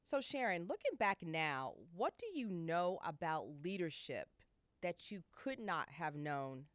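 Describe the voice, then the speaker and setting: A woman's voice: lowish voice, big pitch swings, a little slow, no room sound. A woman hosting a podcast interview, affirming her guest and asking questions with small restarts. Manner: warm affirming listener, asks in restarts. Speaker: podcast host. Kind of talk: casual interview.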